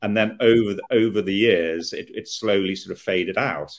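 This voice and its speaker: A man raised in Kenya with a posh Southern English accent, talking thoughtfully as a guest with many halting restarts. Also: lowish voice. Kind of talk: conversational interview.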